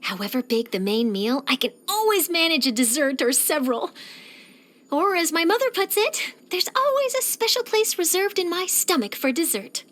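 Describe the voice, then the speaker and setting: A young woman voicing an eager, curious, cheerful young reporter in a video game, with a chatty, expressive delivery. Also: extremely high pitch, normal speed, heavily acted. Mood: chatty, playful. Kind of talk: scripted video game character voice lines.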